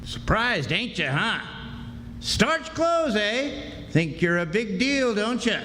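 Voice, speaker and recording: A man's voice: sits high, sing-song, takes it slowly, pushed and bright, exaggerated voice. An actor playing a sneering, greedy old backwoods father who scolds in a folksy rustic drawl, in live radio drama style. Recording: echoey hall, live stage, hissy background.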